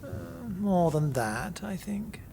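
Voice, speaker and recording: A man's voice: high voice, animated, takes it slowly, creaky, crowd noise, full character voice. A man playing a shrewd, thoughtful medieval archbishop in an audio drama, speaking formally and probingly. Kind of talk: historical audio drama acting.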